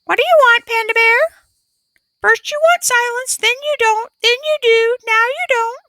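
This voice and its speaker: A woman voicing a plump red male parrot, a cheeky mimic with a squawking, shrill voice. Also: normal speed, sharp tone, no room sound, clean studio sound. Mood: exasperated.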